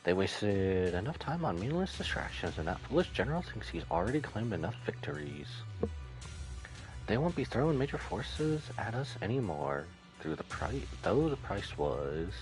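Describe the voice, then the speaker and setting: A man's voice: on the low side, sing-song, moderate pace, soft background music, poor audio. A man voicing a devout, scheming female cult agent from a game, in a solemn, reverent manner. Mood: calculating.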